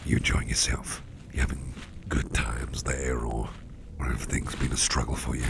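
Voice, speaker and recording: A man voicing a mischievous boss with sharp teeth in an ASMR roleplay, talking in a soft, teasing half-whisper. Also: a bass voice, level tone, normal speed, gravelly, noisy background, heavily acted. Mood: confiding.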